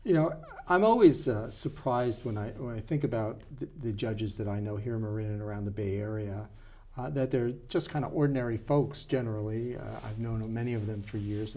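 An older man hosting a studio interview, speaking slowly and haltingly with many fillers. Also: fairly low pitch, warm tone. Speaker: talk show host, moderator. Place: small studio with dark curtains. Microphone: lapel mic.

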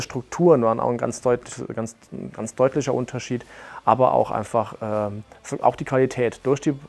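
A man in his thirties, co-owner of a martial arts school, giving a plain, matter-of-fact testimonial on a lapel mic in a bright room. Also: mid-range voice, gravelly. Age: 30s. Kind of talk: testimonial interview. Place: bright room with curtains, standing.

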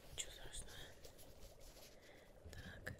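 Young woman doing a friendly busy witch tailor, asmr roleplay, all soft whispering right up on the mic, soothing. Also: lightly acted. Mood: busy, focused. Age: young adult. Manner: soft, unhurried, soothing, with murmured repetitions. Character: friendly, busy witch seamstress fitting a Halloween costume. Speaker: ASMR performer. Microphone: close microphone.

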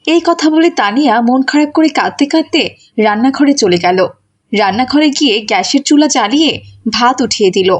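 Female story narrator, high-pitched, scripted storytelling delivery. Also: lively intonation, fairly quick.

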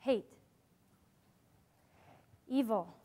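A very high-voiced teenage girl, earnest and hopeful in a stage drama. Her delivery is measured and sincere. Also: dark tone. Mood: resolute. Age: young adult. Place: theatre stage before a seated audience. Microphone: clip-on lapel mic.

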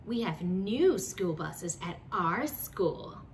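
Storybook show-off schoolgirl, read by a woman: smug, competitive, lively pitch, emphatic bragging. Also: takes it slowly, recorded from a distance, a little hiss or hum.

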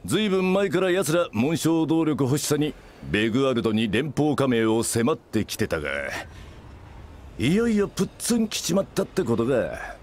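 Gruff middle-aged company-boss father played by a man, barking and rough, with a lively, swinging delivery. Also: mid-range voice, normal speed, natural voice. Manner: loud, rough-spoken, barking. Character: gruff blustering father who runs a company. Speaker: game character.

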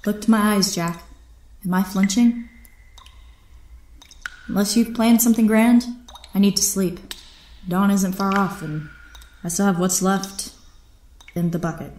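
A woman's voice: mid-range voice, steady tone, a little slow, pauses often, darkish tone, lightly acted. An actress playing a defiant, disgraced air officer in an audio drama, solemn and formal in her speech. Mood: defiant, weary. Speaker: voice actor. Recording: echoey space with faint noise.

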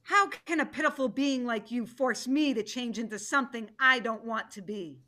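A woman's voice: on the high side, moderate pace, sharp tone. A woman voicing a stern, wrathful tigress in a play reading, with a commanding, declarative manner. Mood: angry. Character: stern, wrathful female tiger who leads the council. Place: home room, video call.

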